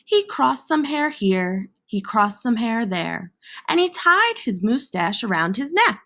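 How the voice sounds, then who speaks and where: A woman reading a children's picture book aloud in an expressive, theatrical way with dramatic pauses and emphasis. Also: a little high. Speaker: storybook reader.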